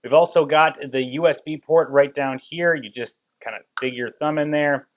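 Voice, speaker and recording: A man's voice: a little high, normal speed, slight background noise. A man reviewing a product in an unscripted walkthrough, explaining things step by step.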